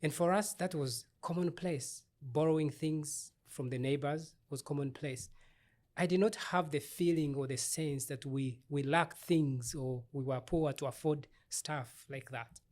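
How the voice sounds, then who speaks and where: A middle-aged man from Uganda, an obstetrician-gynecologist, telling his life story in an interview in a thoughtful, measured way with small restarts. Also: fairly high pitch, a little mellow.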